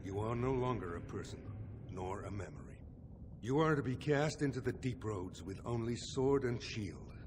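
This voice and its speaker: A man's voice: lowish voice, expressive, moderate pace, background noise, natural voice. A man playing a stern, honourable dwarf lord in a video game, speaking with formal authority. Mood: stern, solemn. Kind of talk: video game cutscene acting. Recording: music under the voice.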